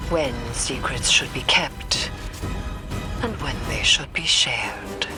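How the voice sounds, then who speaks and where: An actress playing a solemn, cryptic mystic woman in a video game, speaking in a grave, deliberate and ominous manner. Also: extremely low pitch, expressive, full character voice. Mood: pointed, secretive. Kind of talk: dramatic video game acting. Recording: music behind the voice.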